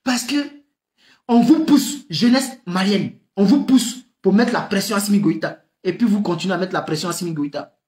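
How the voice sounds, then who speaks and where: A man's voice: high voice, normal speed. A man in his thirties with a West African French accent, giving impassioned political commentary to camera, full of rhetorical questions and laughs. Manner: animated, rhetorical questions, frequent laughs, gestures with hands. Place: small room indoors. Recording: echoey room.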